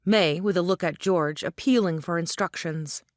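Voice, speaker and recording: A woman's voice: fairly low pitch, normal speed, no echo, studio quality. A woman reading stage directions as scripted narration for a play.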